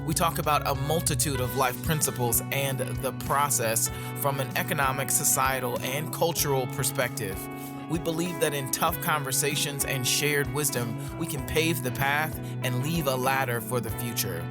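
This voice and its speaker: A man's voice: mid-range voice, moderate pace, loud music behind the voice. A man hosting a podcast, introducing the show and his guest in an upbeat way with many ums and uhs. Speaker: podcast host.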